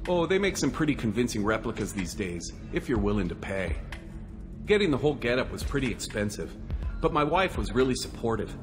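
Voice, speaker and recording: A man's voice: medium pitch, big pitch swings, brisk, over music, close to an everyday voice. A man playing a deluded, boastful wannabe space hero in a video game, full of self-important bluster that slips into defensive pleading. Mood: earnest, eager. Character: delusional, boastful wannabe male space hero, eager fanboy.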